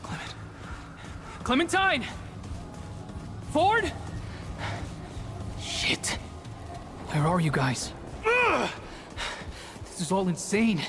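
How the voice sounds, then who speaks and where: A woman's voice: fairly high pitch, huge pitch swings, extremely slow, long pauses, full character voice. A woman playing an anxious, exasperated young traveler in a video game. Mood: panicked, exasperated. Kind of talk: cinematic video game acting. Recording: loud music behind the voice.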